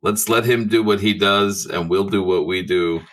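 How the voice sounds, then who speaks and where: Deep, bright-voiced male gamer. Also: fairly even intonation, normal speed. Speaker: tabletop game player. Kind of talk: improvised in-character conversation.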